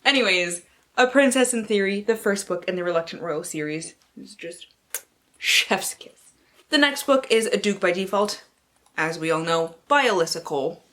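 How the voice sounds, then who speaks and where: A woman's voice: mid-range voice, expressive, normal speed, a bit bright. Theatrical, sweary young-sounding woman book vlogger. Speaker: book vlogger, channel host. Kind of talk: casual chat to camera with playful dramatic book-blurb readings.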